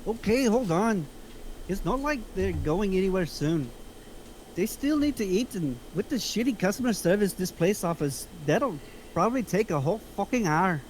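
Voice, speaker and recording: A man's voice: high voice, lively intonation, moderate pace, slight background noise, rough recording. A man playing an immature, cocky, foul-mouthed slacker in a script reading, casual and dismissive. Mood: dismissive, absorbed. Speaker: actor at a table reading.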